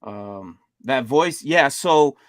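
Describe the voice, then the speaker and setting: A man's voice: medium pitch, animated, very slow, no echo. Dry-sounding man chatting casually. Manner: reading signs aloud and chuckling. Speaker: podcast host. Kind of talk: casual chat.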